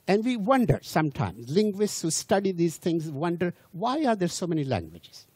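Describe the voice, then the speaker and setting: A man from India, not a native English speaker, giving an unhurried public lecture to an audience and restarting and repeating words as he goes. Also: sits high, a little mellow, no echo.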